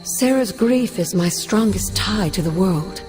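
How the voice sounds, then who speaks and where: A woman playing a formal, measured ghostly mentor. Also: mid-range voice, expressive, music in the background, close to an everyday voice. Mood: solemn. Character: ghost of a stern, caring older female mentor. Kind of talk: video game cutscene acting.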